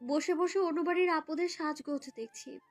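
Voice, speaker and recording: A woman's very high, girlish voice, recorded dry and close with soft music underneath. She narrates a romantic story in the first person, expressively, as the lead character. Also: normal speed, dry recording. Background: background music.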